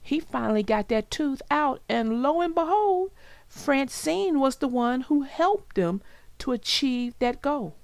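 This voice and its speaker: A woman storyteller talks conversationally to children. Her voice is on the high side and very animated, with some creak, and she takes her time as she reads and comments. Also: a little slow, no echo. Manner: conversational, addresses young listeners directly. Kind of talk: children's read-aloud with chatty commentary.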